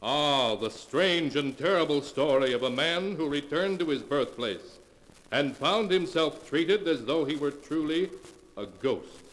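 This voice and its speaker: A man narrating a 1940s radio horror show as an ominous storyteller, with theatrical, drawn-out delivery. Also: fairly high pitch, animated, a bit bright. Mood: slow, eerie. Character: ominous male storyteller of dark, terrible tales. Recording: noisy 1940s radio recording.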